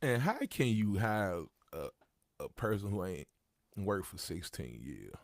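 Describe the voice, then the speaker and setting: A man's voice: deep voice, very animated, a little slow, dry recording. A man in his thirties hosting a livestream, talking casually with slang and repeating his points. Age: 30s. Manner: gravelly, conversational, repeats himself, street slang. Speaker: livestream host.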